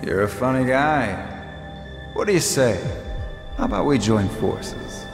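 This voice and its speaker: A man's voice: medium pitch, animated, natural voice. Guy acting as smug theatrical villain with a god complex, video game, really drawn out and taunting, big reverb w music. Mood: smug, grandiose. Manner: grandiose, taunting, drawn-out delivery. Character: arrogant, theatrical male villain craving godhood and world rule. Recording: echoey long reverb, music behind.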